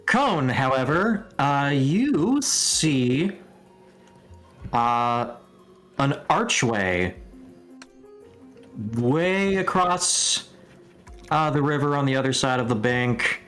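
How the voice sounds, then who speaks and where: A man narrates a tabletop game at a slow, unhurried pace. He improvises casually and hesitantly as game master, feeling out each detail. Faint music plays underneath. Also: medium pitch, sing-song, a bit bright.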